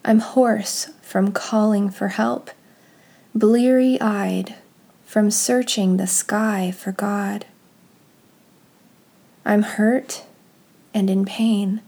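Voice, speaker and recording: A woman with a soft, breathy, husky voice reads scripture and leads guided prayer in a hushed, unhurried, meditative way. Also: medium pitch, fairly even intonation.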